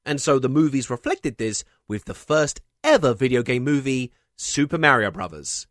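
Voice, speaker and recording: A man hosting a video essay, delivering scripted comedic narration in a wry way with deadpan asides. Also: mid-range voice, normal speed, no echo, clean studio sound. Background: music under the voice.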